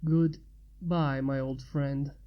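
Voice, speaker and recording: A woman's voice: extremely low pitch, fairly even intonation, extremely slow, lo-fi sound, pitched below the performer's own voice, full character voice. A woman voicing a hunted, distressed male prince in a fantasy story, hesitant and stammering. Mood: pained.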